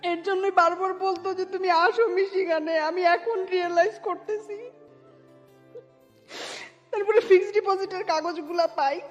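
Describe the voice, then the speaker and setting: A woman's voice: sits high, dull audio. A woman telling her personal story emotionally as an interview guest, mixing in English words.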